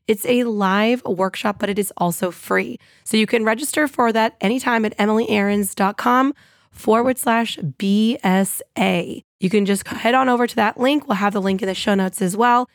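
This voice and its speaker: A woman hosting her own podcast in an upbeat, chatty monologue, her voice a little scratchy and hoarse. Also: mid-range voice, moderate pace, no echo, clean studio sound. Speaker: podcast host.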